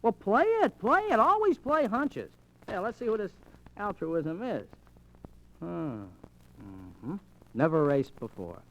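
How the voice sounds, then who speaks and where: Streetwise American bookie, radio thriller: high voice, wildly swooping delivery, slangy patter. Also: male voice, slow, pauses often, a little hiss or hum, heavily acted, low-quality recording. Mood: animated. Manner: slangy, chatty, animated. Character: fast-talking, slangy, streetwise small-time racetrack bookie. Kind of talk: old-time radio drama acting.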